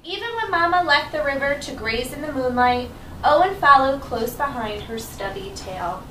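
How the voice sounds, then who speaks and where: A woman's voice: sits high, lively intonation, normal speed, fairly bright tone. A woman leading a group of children, reading aloud and prompting them with questions, often repeating words. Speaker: after-school group leader. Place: indoor classroom, recorded from a distance. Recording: echoey room, distant mic, background noise.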